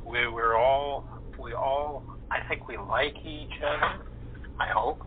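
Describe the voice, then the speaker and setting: A man speaking as an interview guest, an actor chatting casually in a halting, reflective way. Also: medium pitch, fairly even intonation. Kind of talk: casual chat. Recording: phone-like line with faint noise.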